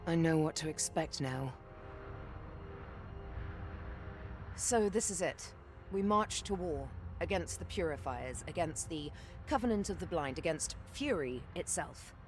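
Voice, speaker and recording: A woman's voice: low voice, lively intonation, normal speed. An actress playing a stern, bitter warrior woman in a fantasy video game, speaking tersely and solemnly. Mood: grim. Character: stern, bitter, determined warrior woman, former Republican soldier. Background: game music.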